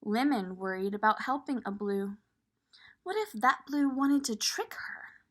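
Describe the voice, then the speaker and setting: A woman reading a picture book aloud to young children, expressive and pausing to point things out. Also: on the high side. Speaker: children's storybook reader.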